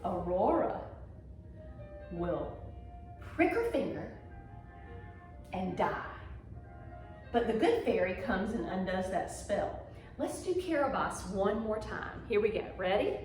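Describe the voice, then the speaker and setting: A woman's voice: mid-range voice, big pitch swings, takes it slowly, long pauses, a little mellow. A woman teaching ballet mime, telling stories theatrically and prompting learners to follow along. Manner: theatrical storytelling with encouraging prompts. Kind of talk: demonstrative dance lesson, talking viewers through gestures. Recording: very echoey room, music behind.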